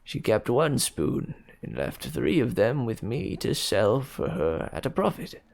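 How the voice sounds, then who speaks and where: A man's voice: mid-range voice, big pitch swings, a little slow, creaky, heavily acted. A man voicing a nervous elderly hunchbacked antique dealer who stammers and pleads.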